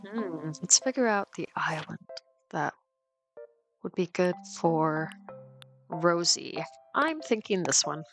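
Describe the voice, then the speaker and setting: A woman with a lower voice than most talks through her game as the host, unhurried and chatty, dotting her thoughts with ums and restarts. She is getting over a cold and sounds a bit sniffly. Music plays clearly underneath her. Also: expressive, rough recording. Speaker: let's play host. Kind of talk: casual gameplay commentary.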